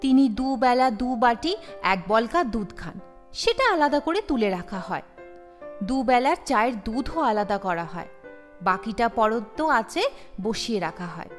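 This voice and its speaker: A woman narrates a written story in a fairly high voice. Her reading is scripted storytelling, carried in a long reverb with music playing behind it. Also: expressive, unhurried. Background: background music.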